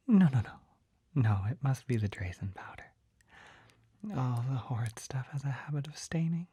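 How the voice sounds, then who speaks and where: An actor voicing a shy, flustered, gentle poison-selling apothecary in a soft, breathy, hesitant whisper for an ASMR roleplay. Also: medium pitch, dry recording. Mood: flustered, embarrassed. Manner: hesitant, halting, apologetic, easily embarrassed.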